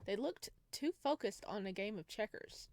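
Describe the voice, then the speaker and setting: A woman reads a visual novel aloud for a gaming video, slipping casually between the on-screen narration and her own playful remarks. Her voice sits at a middle pitch. The recording is close and very dry, with almost no room sound. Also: expressive, normal speed, darkish tone, no room sound. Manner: playful, giggly asides. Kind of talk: reading game text aloud with casual reactions.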